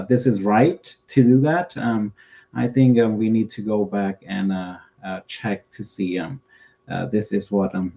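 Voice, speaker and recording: A young man from the United States giving earnest political commentary, halting with many fillers. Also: fairly low pitch, creaky, mellow. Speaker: co-host.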